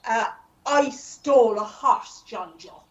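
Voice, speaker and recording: A woman's voice: on the high side, takes it slowly. A woman playing an earnest, devoted, pleading young-hearted confessor in a radio play. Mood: earnest confession. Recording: muffled, distant amateur recording.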